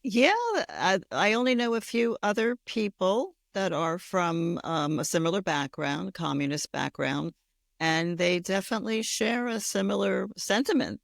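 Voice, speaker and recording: A woman's voice: mid-range voice, measured pace, gravelly, no room sound, studio quality. A woman co-hosting an interview show, asking questions in a friendly, conversational way. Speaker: podcast co-host.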